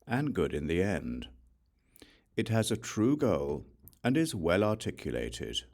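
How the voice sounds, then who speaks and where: Male narrator, deep voice, lively intonation, deliberate storytelling, scripted audiobook reading.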